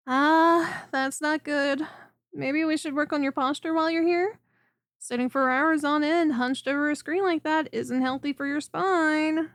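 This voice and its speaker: High-voiced, clingy lamia chiropractor played by a woman. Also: dry recording, clean studio sound. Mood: caring, fussing. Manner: soft, coaxing, teasing, attentive. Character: friendly, clingy female lamia chiropractor, coiled snake monster girl. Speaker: voice performer. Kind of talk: ASMR roleplay, spoken to the listener.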